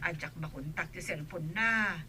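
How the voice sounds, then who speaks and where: A woman's voice: on the low side, unhurried, pushed and bright, slightly rough, full character voice. A woman with an Ilocano accent playing a dutiful, anxious household helper in a radio drama. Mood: respectful, concerned.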